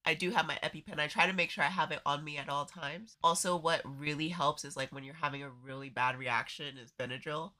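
Woman co-host, stuffy nose, casual chatty delivery, a bright forceful tone. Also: deep voice, steady tone, fairly quick, few pauses. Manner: laughs often, chatty. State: congested from allergies. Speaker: podcast co-host. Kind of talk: casual chat.

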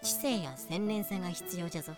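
A woman's voice: fairly low pitch, fairly quick, lightly acted. A woman acting a haughty wise wolf woman who sells slaves, using proud, old-fashioned courtly speech in a fan-made voice drama. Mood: brisk, commanding. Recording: music behind the voice.

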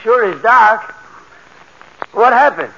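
An actor playing an eager, excitable junior crook in a 1940s radio crime drama, earnest and slangy. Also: male voice, high voice, slow pace, creaky, exaggerated voice. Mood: shaken. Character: eager, excitable junior male crook, loyal heist sidekick. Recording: muffled, noisy old-time radio recording.

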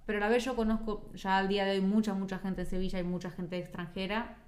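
A woman's voice: mid-range voice, little pitch movement, fast talker. A woman from Uruguay with a Uruguayan accent, talking casually as an interview guest. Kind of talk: casual chat.

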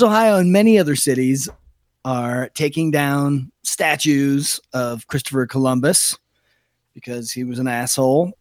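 Male podcast co-host, American, slightly high pitch, swears freely, irreverent comic banter. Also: dry recording. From Utah, United States. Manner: profane, irreverent. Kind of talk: casual comedic banter about news.

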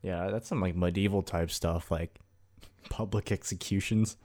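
A man's voice: low-pitched, fairly even intonation, normal speed, a little mellow. A man co-hosting a podcast, chatting casually and asking curious questions, often apologizing as he cuts in. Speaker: podcast co-host. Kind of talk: casual chat.

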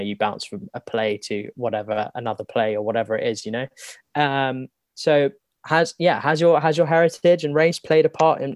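A man's voice: mid-range voice, no room sound. A man hosting a casual remote interview, upbeat and encouraging. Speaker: host.

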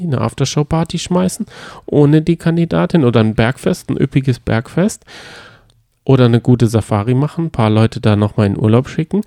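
Ein Mann plaudert als Co-Moderator eines Podcasts locker über Reality-TV, sarkastisch und meinungsfreudig. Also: male voice, mid-range voice, vocal fry, mellow, dry recording, clean studio sound. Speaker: podcast co-host. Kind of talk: casual chat about reality TV.

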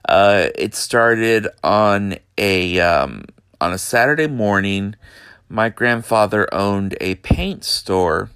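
A man from Texas, hosting a podcast from his bedroom in an unhurried, hesitant way with lots of fillers. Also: lowish voice, animated, fairly bright tone, smooth, no room sound, studio quality. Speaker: podcast host. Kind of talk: casual monologue.

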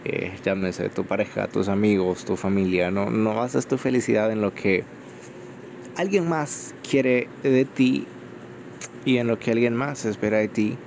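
A man's voice: on the low side, steady tone, unhurried, vocal fry, darkish tone, slight background noise. A Salvadoran man with a Salvadoran Spanish accent hosting an unscripted solo podcast, rambling with frequent fillers, recorded on a phone microphone. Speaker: podcast host.

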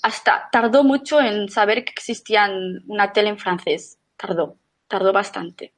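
A woman's voice: mid-range voice, fairly even intonation, unhurried. A middle-aged woman from Menorca, chatting as a podcast guest and often restarting her sentences. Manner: chatty, with frequent restarts and fillers. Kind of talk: casual conversation.

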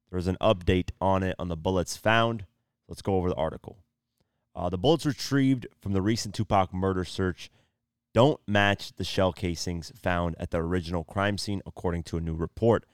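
A tired young man hosting his own podcast, losing his voice and keeping the energy low, talking casually with lots of fillers. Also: normal speed, clear, resonant tone, no echo, studio quality. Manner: laid-back, subdued, lots of fillers like "you know" and "um". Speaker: podcast host. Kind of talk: casual solo podcast talk.

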